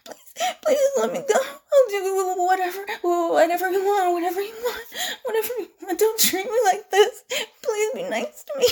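A woman's voice: fairly high pitch, lively intonation, moderate pace, darkish tone, slightly rough. A woman playing a volatile, domineering, vengeful villainess in a dramatic audio roleplay. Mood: distraught, pleading.

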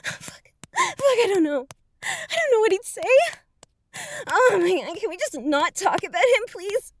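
A woman's voice: very high-pitched, very animated, a little slow, full character voice. A woman playing an overwrought, conflicted adult bride in an explicit audio roleplay, with breathless, moaning delivery. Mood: anguished, pleading.

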